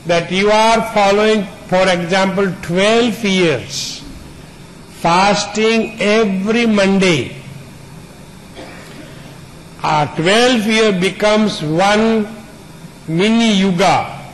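A man with a noticeably high voice gives a spiritual teaching at a very slow, deliberate pace. He speaks earnestly, stacking up repeated lists of words. A faint hiss of background noise runs under him. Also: low-quality recording. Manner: unhurried, builds long repeated lists. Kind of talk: spiritual discourse to an audience.

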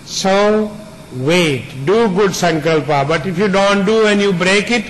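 A man's voice: high-pitched, unhurried, a little hiss or hum, poor audio. A man teaching a spiritual discourse in an earnest, plain way, building long repeated lists and simple images.

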